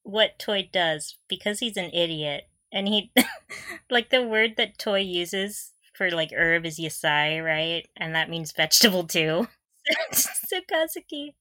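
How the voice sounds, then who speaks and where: A woman's voice: fairly low pitch, lively intonation, slow, bright, forceful tone, dry recording, clean studio sound. A woman co-hosting a casual fan podcast, recapping with gushing enthusiasm and frequent giggles. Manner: giggly, often breaking into laughter. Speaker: podcast co-host.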